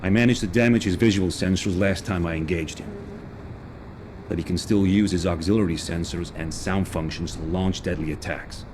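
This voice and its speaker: An actor playing a grim, vengeful recon soldier in a video game, grave and intense, over noisy rumbling ambience. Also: male voice, steady tone, moderate pace, natural voice. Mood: grave briefing. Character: grim, vengeful male recon soldier mourning his men. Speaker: scout squad leader. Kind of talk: video game cutscene acting.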